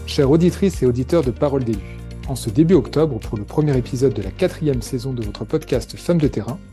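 A man's voice: mid-range voice, dark tone. A man hosting a podcast, reading a formal scripted introduction. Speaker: podcast host.

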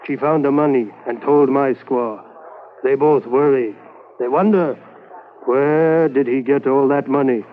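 A man's voice: mid-range voice, steady tone, close to an everyday voice. A man playing a wise old fisherman of the reserve. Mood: friendly, confiding. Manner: slow, simple broken English. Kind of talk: 1950s radio drama. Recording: tinny lo-fi old radio broadcast with hiss.

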